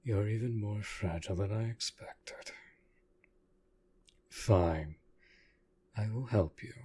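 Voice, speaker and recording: An actor playing a courtly, aloof but caring vampire master in an intimate roleplay audio drama, speaking formally with soft condescension. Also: male voice, on the low side, breathy edge. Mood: aloof concern. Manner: formal, measured, softly condescending.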